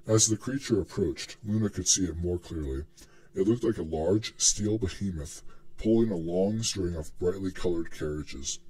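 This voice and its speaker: Male narrator for scripted fiction, low register with a husky grain. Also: normal speed, dark tone, low-quality recording.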